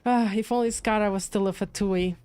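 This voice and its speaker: A woman's voice: medium pitch, steady tone, fairly quick, mellow, clear, resonant tone. A woman livestreamer giving casual commentary on a game's story, thinking aloud as she reacts. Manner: thinks aloud, repeating and musing. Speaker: game livestreamer. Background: game music.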